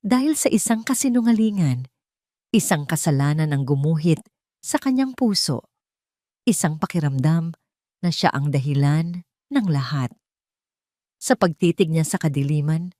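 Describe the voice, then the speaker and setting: Low-voiced woman narrating a drama. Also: a little mellow, no room sound, studio quality. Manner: measured, emotional storytelling. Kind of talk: scripted audio drama narration.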